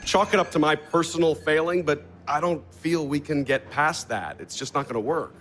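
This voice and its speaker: An actor plays a contemptuous businessman in an audio stage play. His voice sits a little high for a man, and he is curt, blunt and evasive, keeping a cool formality as he brushes people off. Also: normal speed, fairly bright tone, slight background noise, lightly acted. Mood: cool, dismissive. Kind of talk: stage comedy-drama performed for audio.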